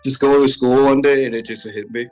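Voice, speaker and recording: A man answering casually as an interview guest, halting and repeating short phrases as he goes. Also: mid-range voice, fairly even intonation, a little mellow. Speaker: interview guest, web series creator. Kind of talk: casual chat. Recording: music playing behind. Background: music.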